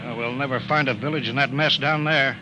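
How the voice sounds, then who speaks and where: An actor playing a gruff, bossy, hot-headed colonial bureau chief in a 1950s radio drama, blustering and impatient. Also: male voice, mid-range voice, fairly even intonation, fairly quick, close to an everyday voice. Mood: commanding, impatient. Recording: noisy old radio recording.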